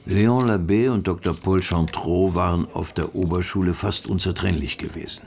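A man's voice: sits low, steady tone, moderate pace, vocal fry, darkish tone. A man narrating a radio drama from a script as the storyteller.

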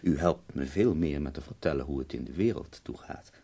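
An actor playing a dying, bedridden poet in a radio play, theatrical and wry, complaining with witty self-pity. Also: male voice, a bass voice, a little fast, gravelly, warm tone, breathy edge, exaggerated voice.

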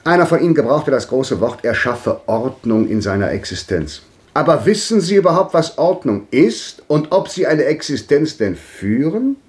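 An actor playing a grandly bitter old man in a 1950s radio drama, declaiming with sweeping swings between loud and hushed. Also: mid-range voice, sing-song, moderate pace, close to an everyday voice. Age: older.

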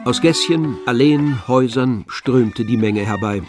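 A man narrating a literary radio drama in a measured, precise storytelling manner. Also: medium pitch, lightly acted. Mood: composed. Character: composed male literary narrator of a novel. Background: faint music with strings.

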